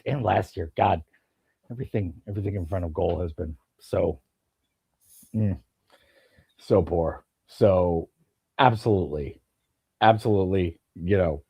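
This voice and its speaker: A man's voice: fairly low pitch, dark tone, husky, dry recording. A man with a slight lisp, chatting hesitantly about football and laughing often. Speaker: podcast co-host. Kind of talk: casual football chat.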